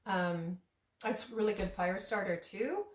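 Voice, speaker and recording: A woman talks her way through making lunch in an echoey home kitchen, circling back on herself with ums and restarts. Her voice sits in a middle range and is a touch hoarse. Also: mid-range voice, animated, unhurried. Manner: rambling, many restarts and fillers; laughs at herself. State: slightly hoarse, losing voice. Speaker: tea shop owner and cooking vlogger. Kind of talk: casual kitchen demo chat.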